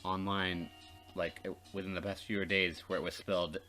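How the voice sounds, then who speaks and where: A man's voice: low voice, level tone, normal speed, sharp tone, faint music behind. A man co-hosting a let's play, reading game text aloud and chatting casually with dry, joking asides. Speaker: let's play co-host and reader.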